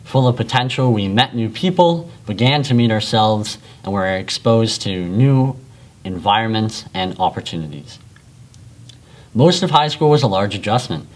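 A man's voice: on the low side, expressive, normal speed. Earnest young man giving a graduation speech. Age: young adult. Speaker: valedictorian. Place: school library.

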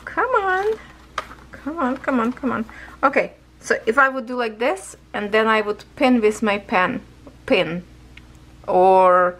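A woman with a hoarse voice, chatting casually as a craft channel host while she stitches beads at home. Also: fairly high pitch, animated, slow pace, fairly bright tone. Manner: rambling, chatty, loose grammar. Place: at home, seated with canvas on lap.

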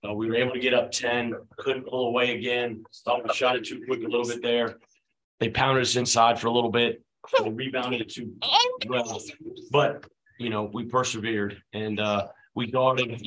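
A man in his forties, a head basketball coach, answering questions at a postgame press conference in a relaxed, conversational way with many fillers, speaking into a table microphone. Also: mid-range voice, normal speed, rough recording. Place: press room at a team table, his children beside him.

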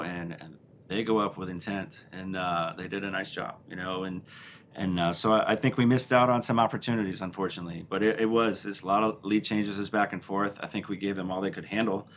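Low-voiced coach at a press table. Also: male voice, steady tone, brisk, a bit bright, slight background noise. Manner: frank, repeats words, many "you know"s. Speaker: head volleyball coach. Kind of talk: postgame press conference answers. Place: press room, seated at a table. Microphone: table mic on a stand.

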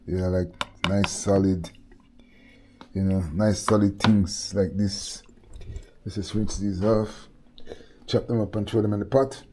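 A man's voice: on the low side, little pitch movement, measured pace, long pauses, background noise. A middle-aged Jamaican man chatting casually while he cooks in his home kitchen, slipping into Patois with laid-back asides. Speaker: cooking vlogger. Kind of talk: casual cooking chat with storytelling. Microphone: head-mounted camera, hands-on cooking.

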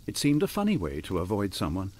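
A man's voice: deep voice, huge pitch swings, fast talker, a little mellow, slight background noise. A man narrating a radio drama in a scripted storytelling style.

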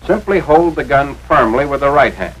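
A man's voice: mid-range voice, lively intonation, normal speed. An American man narrating a scripted 1940s instructional film in an authoritative, carefully enunciated way, as if teaching step by step. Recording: noisy 1940s film soundtrack, radio-like.

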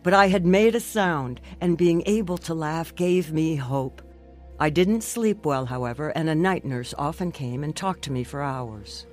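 A woman's voice: low-pitched, a little slow, darkish tone. Brief: young married American woman, earnest, reflective stroke survivor narrating her testimony in radio drama, faint music underneath.

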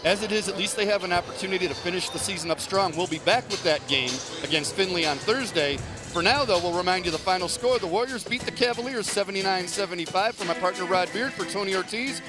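A middle-aged man with a fairly high voice hosts a postgame basketball show from a studio desk. He speaks into a headset mic and rattles through numbers briskly. A clear music bed plays behind him. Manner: brisk, stat-listing delivery. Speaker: play-by-play host. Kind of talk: postgame broadcast wrap-up. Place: studio set.

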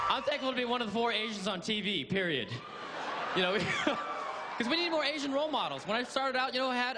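High-voiced guy doing stand-up, riffing fast, crowd super loud. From born in Vietnam, raised in America. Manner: energetic, fast riffing with laughs. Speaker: stand-up comedian. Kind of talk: stand-up comedy set. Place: comedy stage before a live audience. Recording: loud crowd and room noise.

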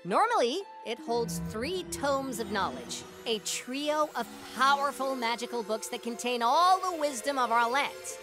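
A man voicing a small, anxious, theatrical creature who keeps magic books in an animated game. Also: very high-pitched, a little slow, few pauses, over music. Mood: grandly earnest. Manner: theatrical, flustered, grandiose. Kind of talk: animated game cutscene voice acting.

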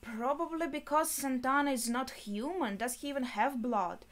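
A young adult woman giving casual reaction commentary, thinking aloud haltingly in short phrases. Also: high-pitched, a bit bright. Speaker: anime reaction host. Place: small bedroom indoors.

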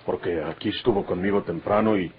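A man's voice: sits low, steady tone, brisk. An actor playing a hot-tempered, vengeful jailed bandit and father in a radio drama. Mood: grave, bitter. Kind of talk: old Mexican radio drama acting. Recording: muffled, husky old radio recording.

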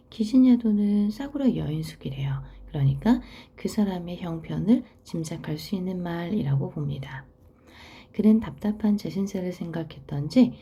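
A woman teaching literature, reading a story aloud and explaining it in a soft, half-whispered delivery. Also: on the low side, husky.

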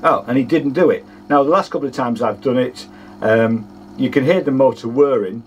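A man in his sixties with a husky voice gives an informal hands-on demonstration, explaining hesitantly as he thinks aloud. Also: medium pitch, normal speed, a little hiss or hum. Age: 60s. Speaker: vlogger demonstrating vintage audio gear. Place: small home studio with foam panels, indoors.

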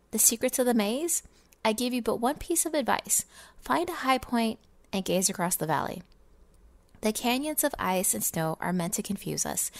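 A woman's voice: a little high, dry recording. A woman voicing a pompous, scornful miserly businessman in a dramatic storybook reading of fantasy game lore. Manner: theatrical, pompous, scornful delivery. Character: miserly, sneering, self-satisfied businessman narrating holiday memoir.

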